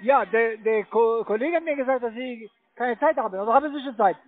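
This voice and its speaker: A German-speaking man, working as a call center agent and giving halting but polite, insistent computer instructions. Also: extremely high pitch. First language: not German. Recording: tinny, band-limited phone line.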